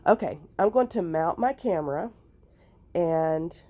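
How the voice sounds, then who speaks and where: A woman with a raspy, nasal, hoarse voice, talking through her crafting clean-out in an unhurried, thinking-aloud vlog. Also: fairly low pitch, lively intonation, dark tone. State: recovering from illness, hoarse. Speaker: crafting vlogger. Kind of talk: casual vlog talk and voiceover.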